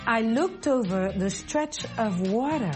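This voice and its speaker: A woman presenting an English lesson segment, speaking slowly and carefully. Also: a little high, lively intonation, music in the background. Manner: slow, careful, clear delivery. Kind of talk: scripted language lesson.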